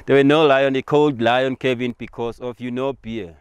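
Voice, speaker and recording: A man's voice: medium pitch, fairly even intonation, moderate pace. A man from Eswatini, a native Siswati speaker with a Swazi accent, explains things as a local tour guide, repeating and rephrasing simply.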